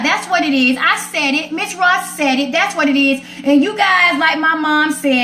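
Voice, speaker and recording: A woman's voice: sits high, fairly bright tone. A woman hosting an informal live stream, talking in a sassy, confrontational, emphatic way to her followers. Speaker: live stream host taking callers. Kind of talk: informal opinionated monologue to followers. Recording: echoey room with faint background noise.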